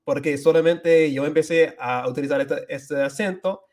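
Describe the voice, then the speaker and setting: A man's voice: fairly high pitch, fairly quick, darkish tone. A young man hosting a casual livestream, answering viewer questions in a loose, rambling way full of restarts and filler words, slipping into Spanish with a Dominican accent. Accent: Dominican Spanish accent when speaking Spanish.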